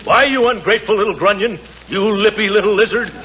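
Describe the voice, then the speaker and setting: A man's voice: sits high, fast talker, fairly bright tone. An actor playing a pompous, bristling big man who blusters in florid phrases on a 1940s radio comedy. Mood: indignant, blustering. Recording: slightly noisy, muffled 1940s radio recording.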